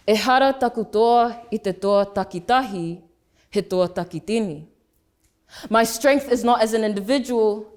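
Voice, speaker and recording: A young woman in her late teens, originally from Samoa, speaks. Her voice sits high. She delivers a rehearsed speech with deliberate pauses, slowing down hard on the lines she wants to land. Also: a little mellow. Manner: emphatic, slows right down on key phrases. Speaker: student speaker. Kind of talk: prepared speech competition oratory. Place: school speech competition.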